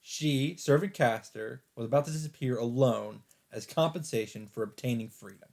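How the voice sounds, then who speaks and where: A man reading a visual novel aloud as a let's play host, in dramatic, expressive narration with deliberate phrasing and pauses. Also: medium pitch, vocal fry. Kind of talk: dramatic narration read aloud from on-screen text. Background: background music.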